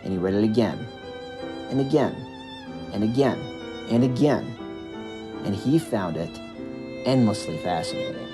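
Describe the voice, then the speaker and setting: Expressive male storyteller over music. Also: mid-range voice, measured pace, long pauses. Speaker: narrator of own audio drama. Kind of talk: scripted narration of a backstory.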